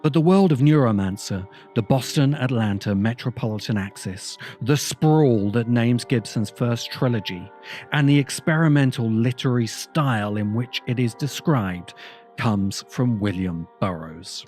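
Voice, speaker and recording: Guy doing an earnest video essay voiceover, medium pitch, music playing underneath. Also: measured pace, a little mellow. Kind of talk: scripted essay narration. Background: background music.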